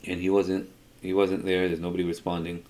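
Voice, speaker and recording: A middle-aged man telling an unscripted personal story in a halting way, often repeating words as he goes. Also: deep voice, monotone, a little mellow, slight background noise. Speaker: storyteller, video creator.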